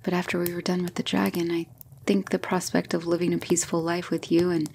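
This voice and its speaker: A woman playing a battle-hardened but tender, devoted female knight in a romantic ASMR roleplay, speaking in a breathy, hushed, emotional way. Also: fairly low pitch, little pitch movement, quick pace, slight background noise. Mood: confiding, sober.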